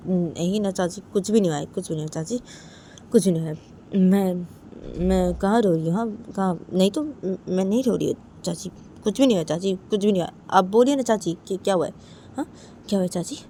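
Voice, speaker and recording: A woman's voice: mid-range voice, fairly quick, mellow, a little hiss or hum, lightly acted. A woman voicing a flustered young daughter-in-law who protests insistently and repeats herself in a story. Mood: evasive, flustered. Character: young daughter-in-law, tearful, insistently denying she cried.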